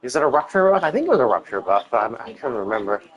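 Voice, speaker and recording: A man's voice: medium pitch, very animated, rapid-fire, muffled recording. A man commentating casually on a live game stream, thinking aloud in a hesitant, rambling way and repeating himself, with casual swearing.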